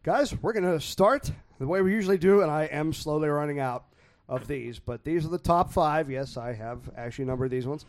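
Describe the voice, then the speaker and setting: A man's voice: on the high side, brisk. A man hosting a casual podcast chat, joking and laughing often with his friends. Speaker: podcast host.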